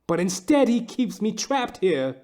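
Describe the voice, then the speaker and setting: A man's voice: high voice, big pitch swings, a little slow, darkish tone. Man playing a haughty, bitter melodramatic rich wife. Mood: anguished, defiant. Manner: pompous, theatrical old-fashioned diction. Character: bitter, haughty, middle-aged woman, melodramatic wealthy wife. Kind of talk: noir detective audio comedy acting.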